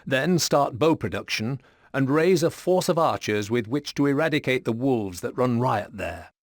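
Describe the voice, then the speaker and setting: A man performing as the formal, measured storybook narrator of a medieval war game. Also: medium pitch, animated, dry recording, studio quality, close to an everyday voice.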